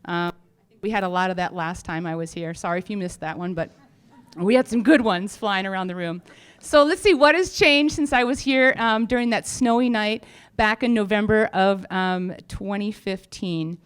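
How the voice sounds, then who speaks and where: A woman's voice: medium pitch, normal speed, smooth. A woman company founder giving an informal update on stage, speaking in a frank, humorous, chatty way. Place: on stage at a live event.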